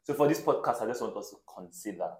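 A man's voice: fairly low pitch, lively intonation, fast. A man co-hosting a podcast in casual conversation, often chiming in with agreement. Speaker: podcast co-host. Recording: echoey room.

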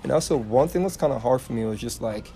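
A man's voice: medium pitch, lively intonation, fast talker, creaky, mellow, soft background music. A man from Liberia with a Liberian accent, a guest in casual chat, upbeat and friendly, often saying "you know". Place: bookstore children's section.

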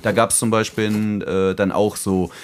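A man's voice: on the low side, little pitch movement, measured pace. A man chatting casually as a podcast co-host, with a slangy, blunt and jokey way of talking. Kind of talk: casual chat.

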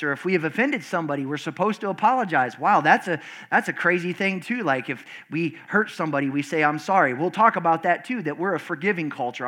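A pastor preaching a lively sermon to his congregation with call and response, his voice screechy and loud, swinging from big high outbursts to low, quiet stretches with many restarts. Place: church service before a congregation.

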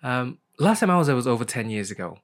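A man's voice: medium pitch, very animated, quick pace. A man hosting a casual interview, enthusiastic and agreeable, jumping in eagerly. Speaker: interview host.

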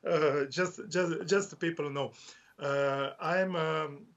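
A man from Croatia speaks English slowly and haltingly, his Croatian accent clear and his sentences full of pauses, fillers and restarts. His voice sits a little high for a man. The sound is dull and lo-fi. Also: steady tone. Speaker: author. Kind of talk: interview guest.

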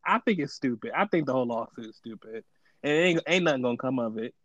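A man co-hosting a podcast in casual chat, blunt and quick with joking asides. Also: mid-range voice, lively intonation, fairly bright tone, no room sound. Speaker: podcast co-host.

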